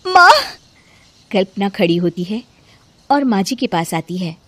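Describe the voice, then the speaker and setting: A woman's voice: on the low side, normal speed, darkish tone, no echo. A woman narrating a scripted family story for animation.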